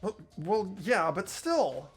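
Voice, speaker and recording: Performer voicing an unsure, good-hearted teen boy; stammers, pitch swings widely. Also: big pitch swings, slow, husky, soft background music, heavily acted. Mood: earnest. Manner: hesitant, stammering, earnest. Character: earnest, hesitant teenage boy student. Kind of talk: amateur voiced game playthrough.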